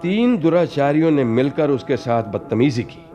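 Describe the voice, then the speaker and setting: Actor as a self-assured film director, animated delivery. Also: male voice, medium pitch, normal speed, faint music behind, lightly acted. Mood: grave, narrating. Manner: expository, theatrical, with English phrases mixed in. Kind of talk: Hindi radio play acting.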